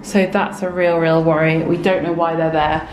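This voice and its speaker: A woman's voice: low-pitched, level tone, normal speed. A chatty young woman films her home renovation on a phone, all ums and cheerful asides. Her voice rings off the bare walls of an empty kitchen, with a faint hiss underneath. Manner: chatty, upbeat, many ums, chats to her toddler in between. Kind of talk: casual vlog to camera. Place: half-renovated house, echoey bare kitchen. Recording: echoey room, faint noise.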